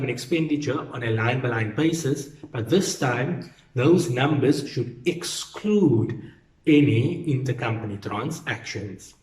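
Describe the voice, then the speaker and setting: A man's voice: mid-range voice, a little mellow. A man gives an explanatory lecture in a didactic way, repeating key points for emphasis. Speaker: accounting lecturer. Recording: roomy, echoing sound.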